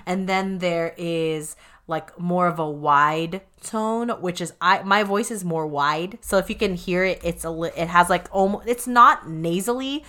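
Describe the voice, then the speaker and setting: Low-pitched woman singer explaining casually. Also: a little slow, few pauses, bright, forceful tone. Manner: rambling, full of "like" fillers, explains with examples. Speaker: podcast co-host, singer. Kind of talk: casual podcast chat.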